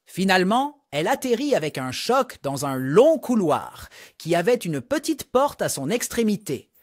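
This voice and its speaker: A man narrating a scripted storybook for young children in an expressive read-aloud manner. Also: high-pitched, moderate pace, dry recording, clean studio sound.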